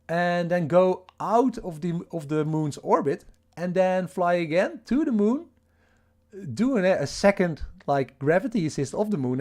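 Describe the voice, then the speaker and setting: A man with a Dutch accent talks loosely to his livestream chat from home, restarting sentences and chuckling as he goes. His voice sits a little on the high side for a man. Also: a little mellow. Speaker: livestream host.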